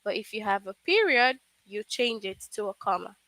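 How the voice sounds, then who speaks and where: A woman's voice: medium pitch, expressive, normal speed, sharp tone, dry recording. A woman teaching a live online grammar lesson, patiently checking understanding with frequent "okay?".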